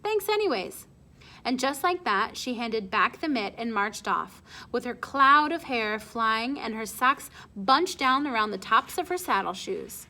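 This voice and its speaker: Bright, expressive woman reading a children's story. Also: a little high, unhurried. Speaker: storybook read-aloud reader. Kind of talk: expressive children's chapter book narration.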